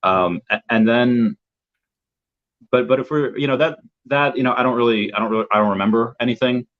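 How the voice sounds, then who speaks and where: A man's voice: medium pitch, brisk. A young man from the United States, a guest in a casual interview chat over a video call, rambling and repeating words as he searches for his thoughts. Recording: dull lo-fi audio.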